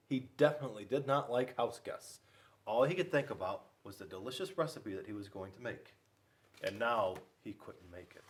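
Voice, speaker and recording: Male children's storyteller, mid-pitched with big swings, playful, speaks straight to young listeners. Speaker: school resource officer reading to children. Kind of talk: animated children's read-aloud.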